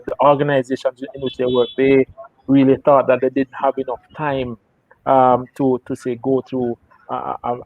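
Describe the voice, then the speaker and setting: A man, a guest on a radio talk show, explaining a mentoring programme in a measured way with frequent filler sounds and restarts. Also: medium pitch, creaky, a little mellow, dry recording, low-quality recording. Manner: measured, many fillers and restarts, rises in emphasis. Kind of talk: radio interview answer.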